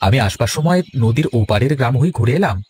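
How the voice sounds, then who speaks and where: A man's voice: medium pitch, expressive, fast, darkish tone, natural voice. A man playing an agreeable village husband in a cartoon, homely, hopeful and emphatic. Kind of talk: cartoon voice acting. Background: instrumental music.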